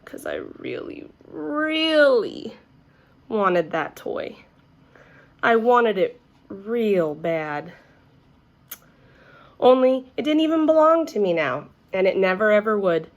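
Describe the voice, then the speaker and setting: A woman voicing an excitable, earnest little girl telling her own story, with childlike, emphatic phrasing as in an animated storybook reading. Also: mid-range voice, slow, long pauses. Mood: wistful.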